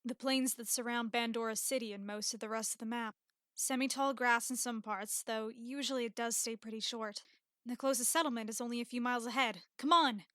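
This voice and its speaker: A woman plays a capable young female adventurer in a fantasy game story. Her voice is fairly high and very bright, recorded close and dry. She comes across as brisk and matter-of-fact. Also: steady tone, no room sound. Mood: informative, brisk. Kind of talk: audiobook character dialogue.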